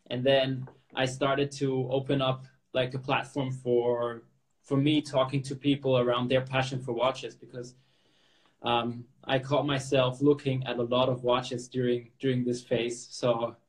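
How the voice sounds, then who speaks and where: A man's voice: mid-range voice, level tone, unhurried, rough recording. An upbeat man hosts a podcast interview over a video call. He sounds friendly and tends to repeat his words. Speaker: podcast host. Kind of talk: casual interview hosting.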